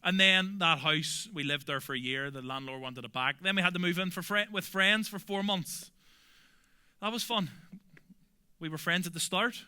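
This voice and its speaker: A young adult man from Belfast with a Belfast accent, preaching a sermon earnestly and emphatically, often dropping to soft, quiet endings. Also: sits high, moderate pace, clear, resonant tone. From Northern Ireland. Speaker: pastor.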